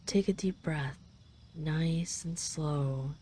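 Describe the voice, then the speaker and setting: A woman's voice: low voice, extremely slow, mellow, a little hiss or hum. A woman voicing a possessive yet tender dragon queen in an intimate roleplay audio, teasing and coaxing the listener. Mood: tender, reassuring.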